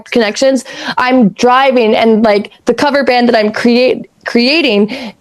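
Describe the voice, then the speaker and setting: A raspy-voiced woman in her thirties from Canada, co-hosting a podcast in rambling, casual chat that drifts into spiritual talk and easy swearing. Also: a little high, a little mellow. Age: 30s. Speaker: podcast co-host.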